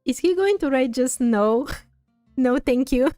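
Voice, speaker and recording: A woman's voice: high voice, lively intonation, unhurried, dark tone, dry recording, faint music behind. A woman streamer giving casual gameplay commentary, musing and thinking aloud close to the microphone. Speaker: streamer playing a story game.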